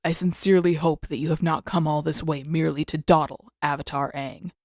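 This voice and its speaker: A woman voicing a stern old male spirit mentor who speaks in formal, solemn, measured phrases. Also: low voice, steady tone, darkish tone, dry recording, clean studio sound, pitched below the performer's own voice. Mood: grave, stern.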